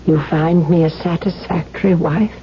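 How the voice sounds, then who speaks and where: An actress playing a gentle, devoted, slightly anxious aristocratic wife in a 1970s radio drama, refined and earnest. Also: female voice, fairly low pitch, steady tone, moderate pace, natural voice. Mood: anxious, confiding. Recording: dull vintage radio broadcast, faint hum.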